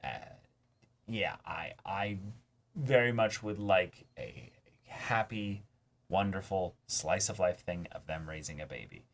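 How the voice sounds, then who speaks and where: A man's voice: sits low, expressive, measured pace, vocal fry. A man co-hosting a reaction show, talking in a casual, emphatic, enthusiastic way like an eager fan. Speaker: co-host of an anime reaction channel. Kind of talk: casual chat, reaction discussion.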